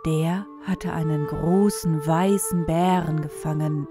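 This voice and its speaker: A woman's voice: low-pitched, steady tone, takes it slowly, over music. A woman reading a fairy tale as a scripted storyteller.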